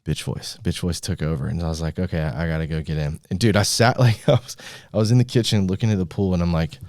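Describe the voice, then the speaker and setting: A man's voice: low-pitched, fairly even intonation, fast, darkish tone, no echo, studio quality. A man co-hosting a podcast, telling personal stories in an informal, slangy, bro-like way. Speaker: podcast host. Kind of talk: casual chat, personal storytelling.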